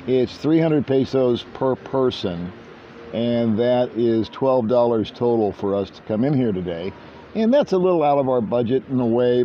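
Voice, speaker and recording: A man's voice: medium pitch, a little hiss or hum. An older man chatting casually to camera at a beach resort, rambling and self-deprecating. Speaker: vlogger. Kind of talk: casual vlog chat. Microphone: handheld small camera. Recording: dull lo-fi outdoor audio.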